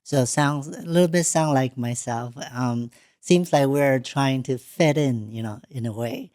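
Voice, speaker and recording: A woman, not a native English speaker, hosting an interview in a studio, talking in a halting way with frequent restarts. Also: very deep voice, dark tone, no room sound. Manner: halting, restarts often, plain unpolished English. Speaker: podcast host. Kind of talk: casual interview.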